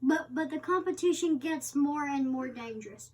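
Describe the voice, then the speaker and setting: High-voiced woman reading aloud, off-mic. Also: darkish tone. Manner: halting reading with stumbles. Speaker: co-host reading the interviewer's part. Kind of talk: reading a magazine interview aloud. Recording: distant microphone.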